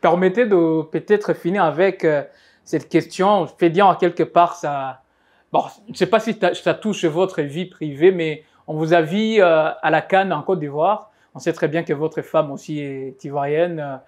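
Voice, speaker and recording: A man's voice: a little high, a little slow. A male sports journalist asking questions, hesitant and stumbling, full of fillers. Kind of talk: interview questions.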